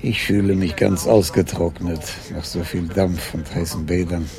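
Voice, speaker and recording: A man's voice: low voice, steady tone, moderate pace, warm tone. A man acting a mild, wry older police commissioner talking with his wife in a German radio drama.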